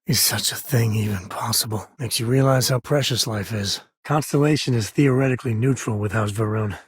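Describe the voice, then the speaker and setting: An actor playing a rugged space-ranger father in a video game, with a husky, raspy voice and a wry, earnest, conversational manner. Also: mid-range voice, fairly quick, no room sound, studio quality. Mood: wry, steady. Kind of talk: video game character voice lines.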